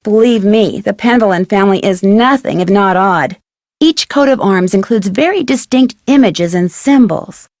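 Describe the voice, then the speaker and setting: A woman's voice: medium pitch, moderate pace, a little mellow, no room sound, clean studio sound, lightly acted. A woman playing a curious young female detective in a video game, speaking conversationally with an American accent in an earnest, matter-of-fact way. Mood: earnest, intrigued. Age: young adult. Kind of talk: video game dialogue acting, conversational phone chat.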